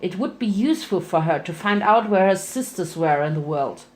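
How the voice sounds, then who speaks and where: A woman's voice: on the low side, expressive, moderate pace. A woman giving a playful, theatrical dramatic reading of a fan fiction story as its narrator.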